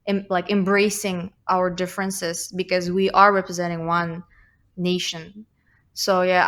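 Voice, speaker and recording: A woman's voice: mid-range voice, a bit bright. A young woman with a slight Russian accent, a guest talking thoughtfully in a casual interview, with many fillers and restarts. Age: young adult.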